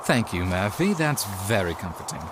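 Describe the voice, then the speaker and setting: An actor playing a steady, concerned and slightly dry male companion in an audio drama. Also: on the low side, moderate pace. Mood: dry sarcasm. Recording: clear background noise with clicks.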